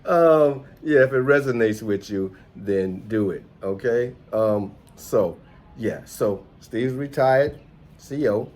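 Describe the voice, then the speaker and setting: A man's voice: on the low side, a little mellow. A middle-aged man with an American accent chatting casually as a vlog host, rambling and reflective. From United States, New York City. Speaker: host, entrepreneur and musician. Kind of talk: casual conversational vlog chat.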